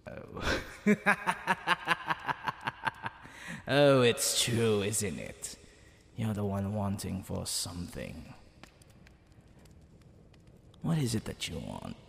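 A man voicing a smug, flirtatious demon king in a theatrical ASMR roleplay, teasing the listener, with creaky tones that drop into breathy whispers. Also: on the low side, very animated, fairly bright tone, full character voice. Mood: teasing curiosity. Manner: theatrical, drawn-out, teasing delivery. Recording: long hall reverb, background hiss and ambience.

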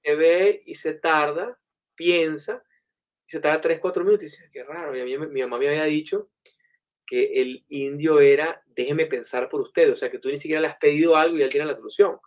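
A man tells stories in a loose, animated way, full of fillers and asides. His voice sits a bit higher than most men's. The tone is chatty and conversational. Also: brisk. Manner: animated, anecdotal, many fillers. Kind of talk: casual storytelling in conversation.

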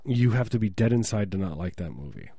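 A man riffs through comedy banter as a podcast co-host, cracking jokes and sliding into silly bits. His voice is mellow and dark. It sits dry and close on the mic. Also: fairly low pitch, big pitch swings, quick pace, husky, no echo. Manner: joking, slips into silly put-on voices.

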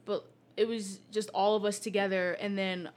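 A woman's voice: medium pitch, flat delivery, moderate pace. Teen girl guest, chatty, laughs easily, filler-heavy casual talk. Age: teens. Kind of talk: casual chat.

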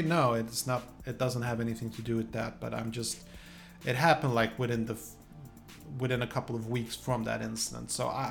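Gravelly man chatting casually to viewers. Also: mid-range voice. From Sweden. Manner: halting, rambling, informal. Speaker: YouTuber. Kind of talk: casual chat to viewers, unscripted.

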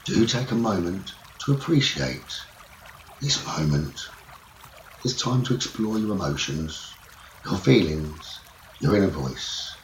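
A man leading a guided sleep meditation as a teacher, speaking in soothing, measured short phrases. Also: low-pitched, animated. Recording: roomy echo, running water noise behind. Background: trickling stream water nature sounds.

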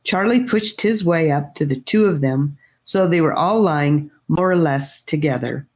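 A woman reading a children's fantasy novel aloud in a gentle, unhurried storytelling manner. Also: sits low. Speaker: audiobook reader. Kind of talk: storybook narration.

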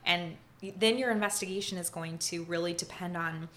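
A young woman talks casually in a slightly low but bright, forward voice. She is thoughtful and pauses often, restarting her sentences. Her fillers make it sound unscripted. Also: fairly even intonation. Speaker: prosecutor, podcast guest. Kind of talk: casual conversation.

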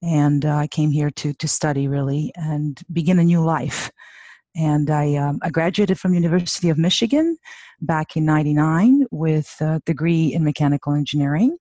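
Woman in her forties, serbian accent, halting with fillers, dry close sound. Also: low voice, warm tone, no echo. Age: 40s. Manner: hesitant, many fillers and restarts. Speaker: podcast guest. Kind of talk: conversational interview.

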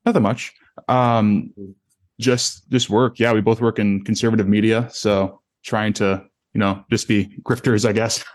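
A man with a lowish voice hosts a podcast. He talks in a relaxed conversational style, as in an informal interview. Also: measured pace. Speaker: podcast host. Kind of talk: casual interview chat.

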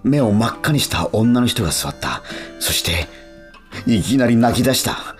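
A man's voice: mid-range voice, lively intonation, normal speed, natural voice. An actor playing a cynical young male street musician, narrating a radio drama in blunt, slangy asides. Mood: brooding, defiant. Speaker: narrator and lead character. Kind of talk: first-person radio drama monologue. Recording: acoustic guitar music behind.

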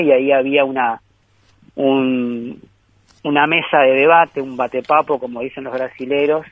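Male guest over a phone line, mid pitch, thoughtful and rambling. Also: dry recording. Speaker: guest filmmaker. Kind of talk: conversational interview over the phone.

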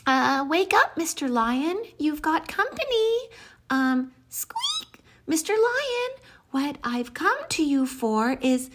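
A woman voicing a tiny, timid male mouse who squeaks and stammers shyly. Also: big pitch swings, slow, noisy background, heavily acted. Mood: timid, nervous.

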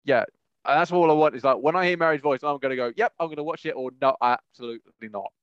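A man with a slightly high voice chats casually on a podcast. He repeats himself for emphasis and voices imagined reactions. The recording is dull and lo-fi. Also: fast, clean tone, no echo. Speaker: podcast co-host. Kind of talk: casual chat.

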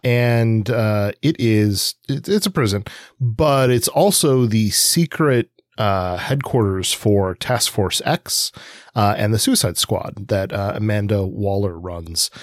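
A man co-hosting a podcast, explaining things conversationally and haltingly, piecing his sentences together as he goes. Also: lowish voice, no room sound, studio quality. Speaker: podcast co-host.

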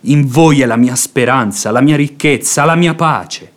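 A man's voice: medium pitch, measured pace, lightly acted. An actor playing a fawning, impassioned religious hypocrite in a radio drama, declaiming his pleas in florid, unctuous phrases. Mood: fervent, humble. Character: pious hypocrite seducer, fawning, impassioned, silver-tongued man. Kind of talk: theatrical radio drama acting.

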